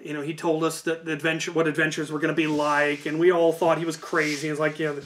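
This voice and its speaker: A man telling a casual, animated story about a tabletop game, as a host who acts out quoted lines. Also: a little high, fast talker.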